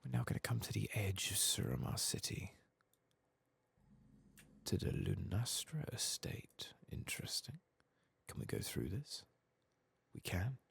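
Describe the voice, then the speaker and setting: A soft-spoken man giving rambling ASMR commentary as he plays a game, sometimes dropping into a whisper. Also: fairly low pitch, huge pitch swings, a little slow, gravelly, long pauses, husky. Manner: rambling, musing to himself; often drops into whispers. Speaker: host of a gaming playthrough channel.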